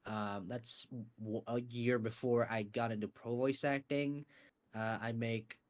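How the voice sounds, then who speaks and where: A man being interviewed about his voice acting and scriptwriting, talking in a casual, animated way with lots of fillers and some swearing. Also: medium pitch, measured pace. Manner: animated, swears freely, many fillers and restarts. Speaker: interview guest, voice actor and scriptwriter.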